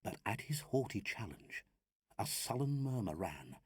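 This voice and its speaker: A man performs narrative verse with theatrical, declamatory weight. His husky voice moves at a very measured pace and swings widely in pitch. The sound is close and dry. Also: very animated, a little mellow, no echo. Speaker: reader of narrative poetry. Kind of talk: dramatic recited narrative poem.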